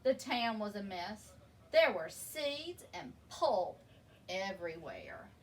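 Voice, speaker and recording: A woman reading a children's picture book aloud in an expressive, deliberate storytelling manner, leaning on key words. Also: mid-range voice. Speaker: story reader. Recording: distant microphone with background noise.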